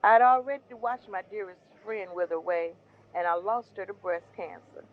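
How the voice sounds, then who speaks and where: A woman playing Mama Ronnie, a devout foster mother who gently consoles her jailed son in an audio drama. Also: medium pitch, moderate pace, phone-quality sound, lightly acted. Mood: consoling. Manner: gentle, consoling, preaching faith. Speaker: Drew's mother.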